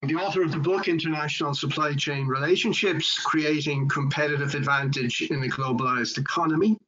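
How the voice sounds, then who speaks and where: An Irish man in his fifties, a supply chain consultant, giving a measured, formal webinar talk with frequent fillers and restarts. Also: a little high, fairly even intonation, a bit bright. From Ireland. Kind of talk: webinar presentation. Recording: dull lo-fi webinar audio.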